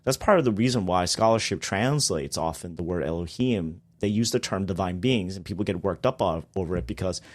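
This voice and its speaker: A man's voice: deep voice, fairly quick, hardly any pauses. A man, a guest on a podcast, explaining theology in a casual discussion with emphatic bursts on key points.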